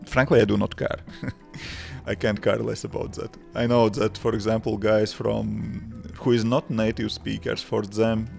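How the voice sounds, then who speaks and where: A man in his thirties with a Latvian accent, a developer chatting casually on a live stream in simple, halting English with many restarts. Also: lowish voice, little pitch movement, gravelly, faint music behind. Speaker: livestreaming developer answering chat.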